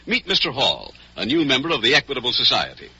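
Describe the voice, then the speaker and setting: American guy doing old radio ad announcing, very punchy, lively pitch, muffled vintage recording. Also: fast talker, breathy edge, dry recording. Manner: emphatic, polished salesmanship. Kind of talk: 1940s radio sponsor announcing. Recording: dull, band-limited vintage radio recording.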